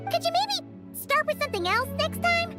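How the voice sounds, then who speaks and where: An extremely high-pitched, childlike fairy companion, perky and boastful. The delivery swings up and down in full game-character style, with music playing clearly behind it. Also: female voice, very animated, moderate pace. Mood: puzzled. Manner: speaks of herself in third person, perky. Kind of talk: video game character acting.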